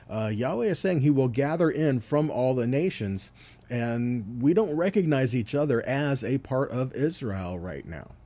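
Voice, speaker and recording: Male Bible teacher for scripture sermon: mellow tone, very animated intonation, explanatory delivery with frequent check-ins. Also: mid-range voice. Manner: explanatory, reads verses aloud and checks in with "okay" and "right".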